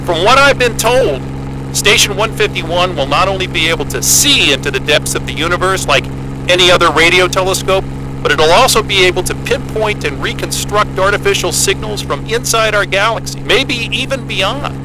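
A man's voice: high-pitched, moderate pace, natural voice. A man playing an eager, geeky American astrophysicist in an audio drama, speaking in an earnest, wordy, naturalistic way. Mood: eager, explaining. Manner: earnest, wordy, enthusiastic explainer. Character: eager, geeky male astrophysicist starting a solo Antarctic posting. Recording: loud engine hum of a helicopter cabin.

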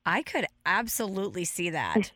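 Woman, host, gravelly deep voice, curious eager questions, dry close sound. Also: big pitch swings, moderate pace, fairly bright tone, no echo. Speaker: podcast host. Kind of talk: casual interview chat.

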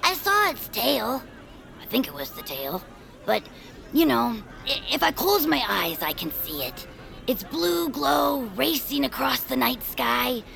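A woman playing an earnest, dreamy, loyal young adventurer with a creaky voice in a family audio drama. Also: high-pitched, very animated, unhurried, sharp tone, faint music behind, full character voice. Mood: wistful wonder. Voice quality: creaky, gravelly. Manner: earnest, wistful, theatrical. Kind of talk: audio fiction character acting.

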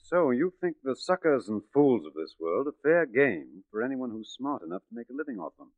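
An actor as a smooth gentleman crook, tinny radio. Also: mid-range voice, big pitch swings, fairly quick, no echo, close to an everyday voice. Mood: condescending, probing. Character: smooth, condescending gentleman swindler posing as philanthropist. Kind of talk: 1940s radio drama acting. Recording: tinny, muffled 1940s radio recording.

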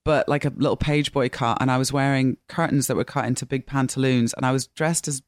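A woman's voice: rumbling low, fairly even intonation, a little fast, no room sound. A woman from Scotland with a British accent, chatting playfully as a guest in a radio studio, joking and laughing easily. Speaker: guest actress. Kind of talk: casual interview chat. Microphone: studio microphone.

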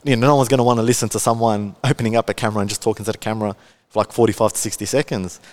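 A man's voice: fairly low pitch, steady tone, rapid-fire, a little mellow. A man in his twenties with an Australian accent, chatting casually as a podcast guest, laid-back and full of fillers. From Melbourne, Australia. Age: 20s. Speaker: guest, content creator.

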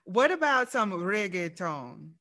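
A woman's voice: medium pitch, big pitch swings, sharp tone. A woman hosting a casual interview, bubbly and slangy, drawing her words out. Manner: bubbly, slow and drawn out, slangy. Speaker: interview host.

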